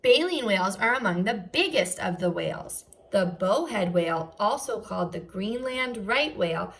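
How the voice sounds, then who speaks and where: A woman's voice: mid-range voice, creaky. A woman teacher giving a read-aloud lesson to young children, speaking in an instructional, enunciated way. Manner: instructional, enunciated, posing questions to young listeners. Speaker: first-grade teacher.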